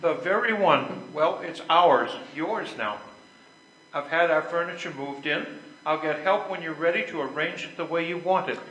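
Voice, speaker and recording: An older man playing an earnest, self-important but conciliatory husband in a readers theater stage play, speaking deliberately. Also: on the high side, pushed and bright. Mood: earnest, pleading. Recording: echoey room with clear background noise.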